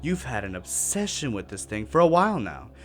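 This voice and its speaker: A man's voice: medium pitch, very animated, fairly quick, faint music behind. A man playing an agitated, self-justifying expedition member, insistent and accusing, in a radio drama. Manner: forceful, insistent.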